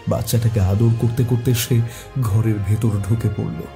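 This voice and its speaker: A man narrating a scripted horror story in a suspenseful, deliberate, dramatic way. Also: on the low side, level tone, gravelly, music in the background.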